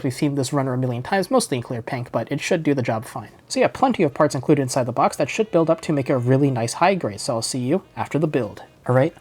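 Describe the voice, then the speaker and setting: A man's voice: medium pitch, darkish tone. A man with a congested voice from a cold, chatting through a detailed model kit review. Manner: chatty and detailed, fond of "like" and "of course". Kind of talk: unboxing and product review.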